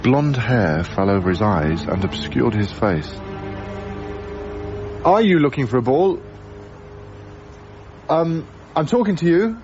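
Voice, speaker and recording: Mild, animated young male lodger. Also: mid-range voice, takes it slowly, pauses often, highs cut off, faint music behind. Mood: friendly, curious. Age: young adult. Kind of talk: naturalistic radio drama acting and first-person narration.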